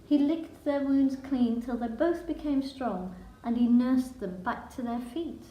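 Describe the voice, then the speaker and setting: A woman reading a children's picture book in verse with a measured, dramatic storytelling delivery. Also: high-pitched, warm tone, a little hiss or hum. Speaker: storybook reader. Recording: echoey room.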